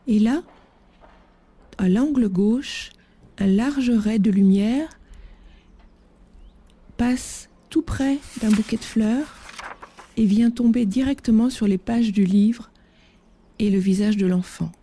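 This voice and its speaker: A woman's voice: a little high, slow, long pauses, mellow, breathy edge. A woman giving a reflective, descriptive narration.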